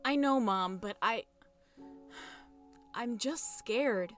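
Actress as a slow, bright-voiced anxious young scientist. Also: female voice, on the high side, soft background music. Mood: scared, self-doubting. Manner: hesitant, earnest, emotional. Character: anxious young female scientist, dutiful daughter. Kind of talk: sci-fi audio drama acting.

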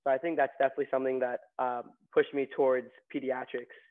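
Young male guest from New York, casual storyteller; narrow pitch, lo-fi phone-quality audio. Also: little pitch movement, fast talker. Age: young adult. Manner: chatty, many fillers and restarts. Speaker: nursing graduate guest. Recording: tinny phone-like line.

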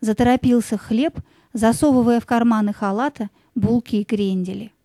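Mellow lady storyteller, fairly high voice, dry studio sound w soft music under, fairy tale. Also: fairly even intonation, normal speed, no room sound. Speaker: narrator.

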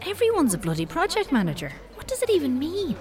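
A woman's voice: high-pitched, huge pitch swings, quick pace, full character voice. An actress playing the mischievous, sweary inner voice of a date-weary Irish woman in an audio drama. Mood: exasperated. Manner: sweary, sardonic.

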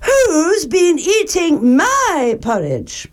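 A woman voicing a motherly bear in a storybook, tender and fretful, with plaintive, drawn-out words. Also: extremely high pitch, wildly swooping pitch, pitched above the performer's own voice, heavily acted. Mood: dismayed.

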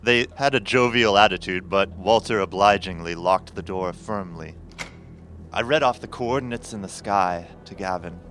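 An actor playing a grave, formal professor who narrates a horror audio drama in a measured, literary way. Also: male voice, low voice, fairly bright tone, slight background noise. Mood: measured, foreboding.

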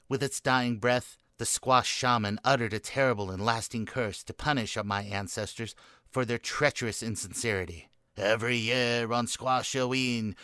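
A man's voice: mid-range voice, pushed and bright, smooth, no room sound, studio quality. A man playing a morose, melodramatic boy in a comic gothic radio play, speaking in florid, solemn storytelling fashion. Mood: ominous. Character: morose, melodramatic boy telling a doom-laden family curse tale.

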